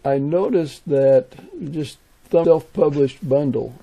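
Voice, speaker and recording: A man's voice: a little high, takes it slowly, dark tone. A man hosting a podcast interview in a casual, conversational way.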